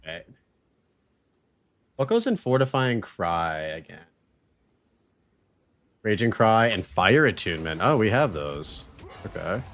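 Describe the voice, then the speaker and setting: Excitable man in his thirties streaming a game. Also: on the low side, slow, long pauses. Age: 30s. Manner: excitable, swears, slangy, talks to chat. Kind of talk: casual live-stream chat while gaming.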